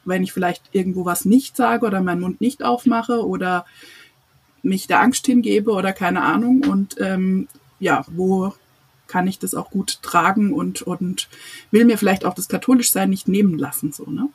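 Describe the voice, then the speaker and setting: A guest speaks earnestly on a podcast and laughs often. The voice is breathy and sits at a middle pitch. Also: moderate pace. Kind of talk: casual podcast conversation.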